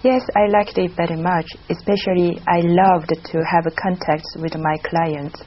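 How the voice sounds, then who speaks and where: A woman's voice: lowish voice, fairly even intonation, moderate pace, slight background noise. A woman from South Korea with a Korean accent, speaking hesitantly with frequent fillers as an exam candidate. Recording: dull lo-fi sound.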